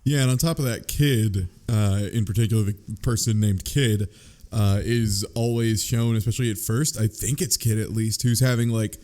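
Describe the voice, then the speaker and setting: A man's voice: fairly low pitch, fairly even intonation, normal speed, warm tone. A man on a podcast panel, chatting casually as part of a book club discussion.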